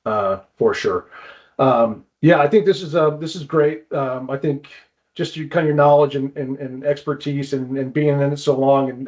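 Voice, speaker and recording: A man hosting a podcast in a casual, chatty style with halting, informal phrasing. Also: mid-range voice, a little mellow. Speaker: podcast host, climbing wall builder. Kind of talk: casual chat. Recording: muffled, dull lo-fi audio.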